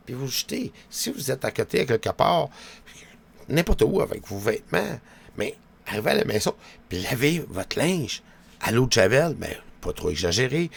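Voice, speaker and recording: A man's voice: mid-range voice, gravelly, slightly rough. A man with a Quebec French accent giving a rambling, colloquial monologue to viewers, full of little verbal tags. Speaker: vlogger.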